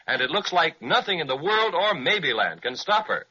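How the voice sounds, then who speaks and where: A man narrating a children's radio serial in an animated storytelling manner. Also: on the high side, moderate pace, very noisy. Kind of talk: scripted narration. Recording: dull lo-fi 1930s radio recording.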